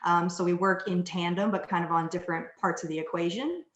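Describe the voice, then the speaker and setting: A woman in her forties talks on a video call in a chatty, self-deprecating way. Her voice is lowish, and the room around her echoes. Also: brisk, muffled recording. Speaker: music supervisor. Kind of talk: casual self-introduction. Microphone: webcam on video call.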